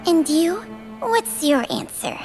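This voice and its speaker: A woman's voice: very high voice, very animated, slow pace, music in the background, full character voice. An actress voicing an ancient, world-weary female snake spirit in a video game, with a wry, knowing, drawling delivery. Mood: resigned, weary. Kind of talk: scripted video game dialogue.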